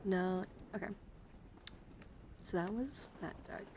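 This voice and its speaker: Woman, ASMR streamer: soft, crackly vocal fry, whisper-prone, soothing and encouraging, close mic with noticeable background noise. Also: mid-range voice, takes it slowly. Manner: soft-spoken, often dropping into whispers. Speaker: livestreamer hosting an ASMR card-showing stream. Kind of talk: ASMR, casual livestream chat. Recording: clearly audible background noise.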